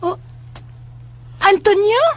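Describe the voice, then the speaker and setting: A woman's voice: extremely high pitch, steady tone, takes it slowly, slight background noise. A woman playing a stern, commanding mother who works as a folk healer in an audio horror drama. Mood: questioning.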